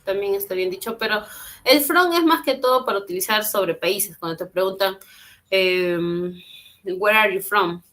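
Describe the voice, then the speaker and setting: A woman's voice: medium pitch, unhurried, fairly bright tone. A woman teaching an online English class, patient and encouraging, repeating and translating phrases. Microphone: computer mic on a video call.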